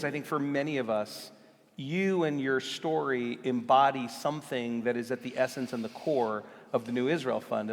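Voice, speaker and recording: A man moderating an onstage conversation at a live event, earnest and halting, with frequent restarts. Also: medium pitch, in a large hall. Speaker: moderator, organization CEO. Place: large hall, live event.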